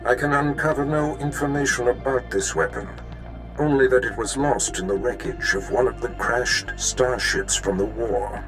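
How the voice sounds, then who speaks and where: A man playing an imperious, scheming Sith lord in a video game, grave, deliberate and formal. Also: mid-range voice, fairly even intonation, music in the background, lightly acted, low-quality recording. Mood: cold, menacing. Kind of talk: scripted video game dialogue acting.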